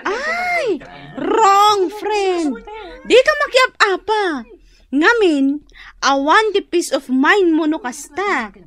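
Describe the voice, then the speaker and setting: An actress playing a sensible, chiding friend who gives advice in an Ilocano radio drama. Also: female voice, very high voice, big pitch swings, drawn-out words, a bit bright, heavily acted.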